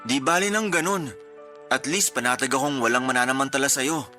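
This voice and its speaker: A man playing a protective, jealous young husband in a radio drama, speaking calmly and gently persuasively. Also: medium pitch, brisk, soft background music, lightly acted. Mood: defensive.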